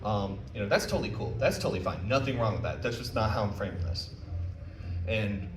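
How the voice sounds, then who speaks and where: A man's voice: fairly low pitch, lively intonation, a little fast. A man giving an informal, jokey live talk as a panel presenter, taking questions in a conversational way. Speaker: convention panel presenter, visual novel developer. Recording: roomy, distant mic, faint background noise.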